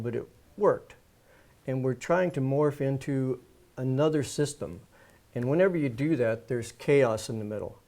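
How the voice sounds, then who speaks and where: A man speaking as a studio guest in an earnest, measured, reflective conversational way. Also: mid-range voice, creaky, dark tone. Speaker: show guest. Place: live studio.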